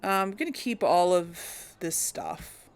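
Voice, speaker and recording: A woman's voice: fairly low pitch, big pitch swings, a little slow. A woman hosting a casual gameplay video, chatting and thinking aloud with frequent giggles. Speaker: let's play host.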